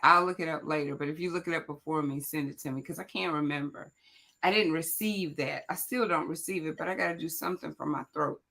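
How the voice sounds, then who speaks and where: A woman hosting a motivational morning livestream, chatting loudly and directly to viewers, her voice raspy and hoarse. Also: low voice, fast talker. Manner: chatty, loud, talks straight to the audience. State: hoarse, voice cracking. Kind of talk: casual motivational chat to viewers.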